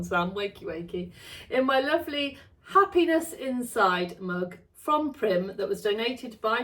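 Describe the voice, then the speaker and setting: A woman talks casually to camera from her home sewing studio, chatty and rambling, often correcting herself. Her voice is slightly gravelly today. She sits a little back from the microphone, so she sounds slightly distant. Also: medium pitch. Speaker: sewing vlogger.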